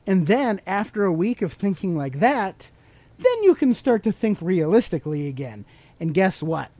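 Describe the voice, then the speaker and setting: A man's voice: sits high, very animated, moderate pace, dark tone, dry recording. A man hosting a podcast, teaching motivational ideas in a conversational, explanatory way and putting questions to the listener. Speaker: podcast host.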